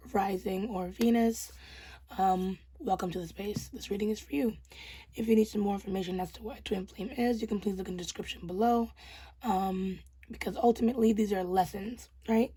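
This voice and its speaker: A young adult woman with a hoarse, tired voice, giving a gentle, reassuring tarot reading to her viewers with frequent ums. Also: mid-range voice, low-quality recording. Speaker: tarot reader. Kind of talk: casual tarot reading to camera.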